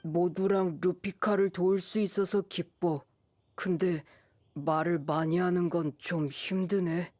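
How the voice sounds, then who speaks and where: A woman voicing a shy, earnest teenage wild boy in an anime game, speaking in halting, simple phrases. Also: lowish voice, fairly even intonation, a little mellow, no room sound. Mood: quietly glad.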